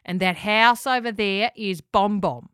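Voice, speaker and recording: A woman's voice: mid-range voice, measured pace, a bit bright, clear, resonant tone, dry recording, clean studio sound, lightly acted. A woman voicing a blunt, hearty male pelican who leads his flock in a children's storybook.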